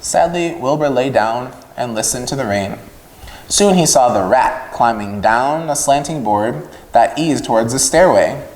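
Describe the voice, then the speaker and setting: A man reading a storybook aloud to children in an expressive narrating style. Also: mid-range voice, a little slow. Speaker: children's story-time reader. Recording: echoey room with long reverb.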